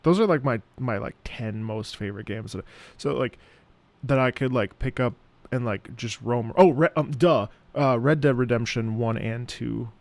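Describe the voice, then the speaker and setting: A man's voice: mid-range voice, normal speed, a little mellow, no room sound. A man chatting casually as a gaming streamer, rambling and thinking aloud. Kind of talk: casual live gameplay chat. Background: video game music and dialogue.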